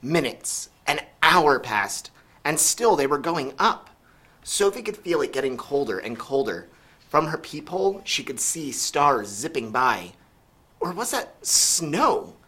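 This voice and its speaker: A man narrating a children's story aloud in an animated, expressive storytelling style. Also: on the high side, a little slow, husky. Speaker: storybook reader.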